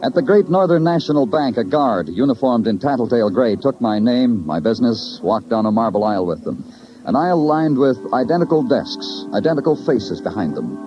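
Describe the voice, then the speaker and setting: Actor as wry radio noir detective, muffled recording. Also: male voice, medium pitch, fairly quick, natural voice. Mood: wry, brooding. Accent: American. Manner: clipped, deadpan, literary narration. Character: wry male police detective narrating his case. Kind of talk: 1950s radio noir acting and narration. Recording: muffled old radio recording, music behind.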